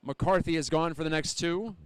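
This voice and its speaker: A man doing live audio play-by-play of an ice hockey game as the announcer, describing the action in a measured, detailed way that lifts with the play. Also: fairly high pitch, smooth, no room sound. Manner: measured, descriptive, lifting on scoring chances. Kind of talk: live ice hockey radio-style commentary.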